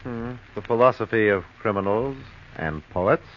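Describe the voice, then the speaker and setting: An actor playing a self-assured American schemer near sixty in an old radio crime drama, suave and sly. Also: male voice, fairly low pitch, expressive, natural voice. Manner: suave, sly, unhurried explaining. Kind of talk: 1940s radio drama acting. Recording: dull, hissy vintage radio recording.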